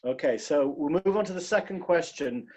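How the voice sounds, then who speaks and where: A man with a Yorkshire accent chairing a hustings, polite and brisk as he keeps things moving. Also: on the high side, lively intonation, warm tone. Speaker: moderator.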